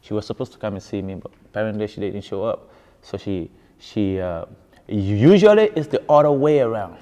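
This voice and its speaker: Deep-voiced Ghanaian man in echoey hall. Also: lively intonation, brisk, dark tone. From West Africa, grew up in Ghana. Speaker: musician being interviewed. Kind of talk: casual interview storytelling. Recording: echoey hall, dull muffled sound.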